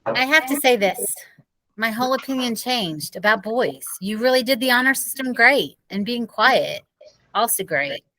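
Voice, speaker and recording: A woman voicing an earnest fifth-grade schoolgirl in a storybook, speaking deliberately, word by word. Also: fairly high pitch, expressive, bright, forceful tone, low-quality recording. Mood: earnest, halting.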